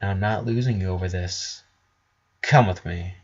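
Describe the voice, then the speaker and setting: A man's voice: deep voice, normal speed, a little hiss or hum, pitched below the performer's own voice. Guy doing a female unicorn from a fantasy story, intense and harsh, lovesick, kinda dull lo-fi audio. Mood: cold, intense.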